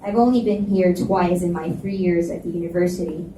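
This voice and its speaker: A woman's voice: on the low side, a little fast, warm tone, lightly acted. A sardonic young college woman acted out by a woman reader speaks bluntly in romance story dialogue. She sounds distant from the mic in an echoey room, with some noise underneath. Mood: blunt, sarcastic. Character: tired, blunt, sarcastic young female college student and athlete. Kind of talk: live dramatic reading of romance fiction dialogue. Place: live reading in an echoey indoor room.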